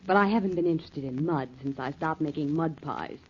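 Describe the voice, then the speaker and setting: A woman's voice: low voice, brisk, husky. An actress playing a wry, teasing young woman, a detective's companion, in a 1940s radio drama. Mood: wry, playful. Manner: teasing, dryly complaining. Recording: muffled, hissy 1940s radio recording.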